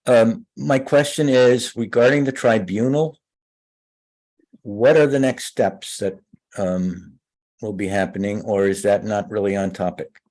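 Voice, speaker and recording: Low-voiced man with hesitant delivery. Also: steady tone, a little slow, darkish tone. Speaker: audience questioner. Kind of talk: casual question to a speaker.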